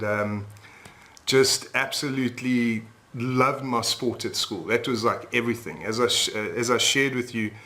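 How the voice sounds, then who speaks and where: A man's voice: mid-range voice, a bit bright. Upbeat South African man presenting. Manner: upbeat, peppers talk with "all right?". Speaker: webinar host and retreat leader. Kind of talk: presenting a sales webinar.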